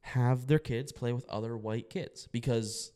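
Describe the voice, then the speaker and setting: A man's voice: medium pitch, steady tone, measured pace, warm tone. A young man co-hosting a casual podcast, rambling with lots of fillers and restarts. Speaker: podcast co-host.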